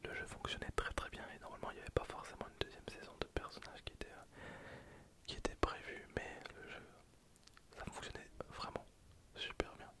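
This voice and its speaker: ASMR game streamer: fully whispered, soft, casual delivery, thinking aloud while playing. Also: a little fast. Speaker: ASMR gaming video creator. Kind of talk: whispered ASMR gameplay commentary.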